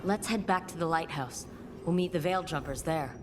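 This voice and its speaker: An actress playing a determined, serious game heroine, speaking tersely and earnestly. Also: female voice, sits low, moderate pace, background noise, close to an everyday voice. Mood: grave, urgent. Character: determined adult female adventurer leading a rescue, serious, steady. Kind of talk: video game voice acting.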